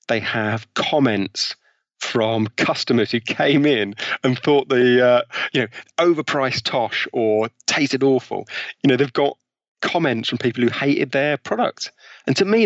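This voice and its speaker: Brief: male guest author, lively explainer, dull and dry sound. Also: mid-range voice, dry recording. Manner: enthusiastic storyteller, explains studies with examples. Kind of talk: podcast interview.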